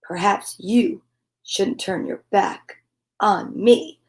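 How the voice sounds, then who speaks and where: A woman's voice: mid-range voice, slow pace, close to an everyday voice. A woman voicing a jealous, scheming male lion villain in a storybook, with a sly, menacing manner. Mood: veiled threat.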